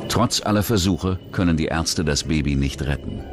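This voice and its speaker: A man's voice: rumbling low, moderate pace. A man reading scripted narration for a true-crime documentary. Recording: music bed under narration. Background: music.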